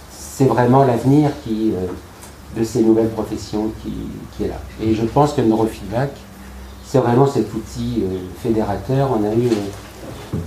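A man giving welcoming remarks to a class in a halting way, searching for words with many fillers. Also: on the low side, mellow. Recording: echoey room, faint background noise.

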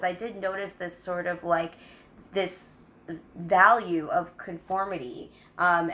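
A woman in her thirties from the United States, a guest talking casually in an interview, halting with many ums and restarts. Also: on the low side, fairly bright tone.

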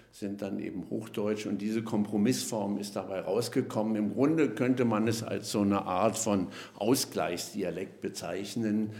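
A man with a fairly low voice lectures patiently as a podcast guest, measured and explanatory, often pausing on hesitation fillers. The sound is roomy and echoey. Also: gravelly, darkish tone. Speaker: linguist guest.

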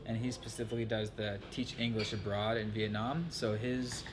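A man's voice: lowish voice, flat delivery, normal speed. A man hosting a travel vlog, talking in a loose, rambling, chatty way. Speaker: vlogger host. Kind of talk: casual chat to camera. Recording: noisy ambient background.

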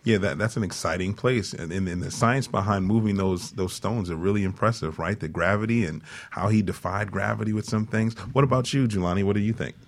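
A man's voice: fairly low pitch, fairly quick, gravelly, few pauses. A man hosting a casual radio interview, asking questions in a conversational way. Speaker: talk show host.

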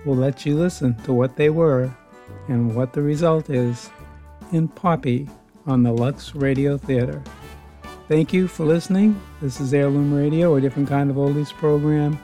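A man's voice: fairly high pitch, steady tone, normal speed, warm tone. A man hosting an old-time radio program, reading a scripted introduction to a show. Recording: guitar music behind the voice. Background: guitar music.

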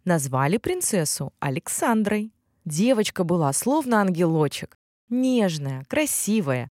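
A woman narrating a children's fairy tale in an expressive storytelling style. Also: on the low side, a little slow, dry recording, clean studio sound.

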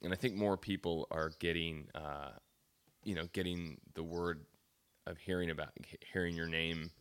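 Man, interview host, deep voice, smooth resonant tone, halting casual delivery. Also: steady tone, fairly bright tone. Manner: halting, restarts sentences, laughs easily.

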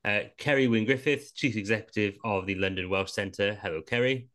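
Low-voiced relaxed podcast host, man. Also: fairly quick. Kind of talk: hosting a podcast.

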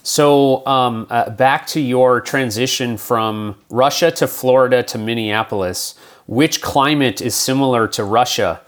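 A man's voice: mid-range voice, a little slow. A man hosting a podcast interview, talking in a friendly, loose way with fillers and small stumbles. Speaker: podcast host.

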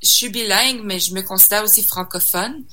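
A woman's voice: mid-range voice, brisk, bright, forceful tone. A young woman from Saskatchewan, a singer guest in a casual interview, talking hesitantly with many fillers and restarts and slipping in English words. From Saskatchewan, Canada.

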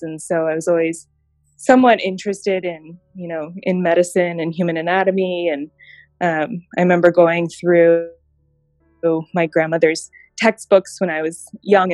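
Woman, 30s, from Japan, interview guest, lower voice, dry sound, reflective storytelling. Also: darkish tone, no echo. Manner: reflective, storytelling, thoughtful pauses. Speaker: guest, healthcare innovation director. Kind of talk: interview conversation.

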